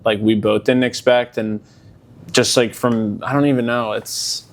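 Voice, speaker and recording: A young man in a laid-back interview chat, voice sitting in an ordinary middle pitch. He rambles loosely, leaning on filler words as he thinks aloud. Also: measured pace. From Delaware County, Pennsylvania. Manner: relaxed, rambling, many fillers like "like". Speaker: musician guest.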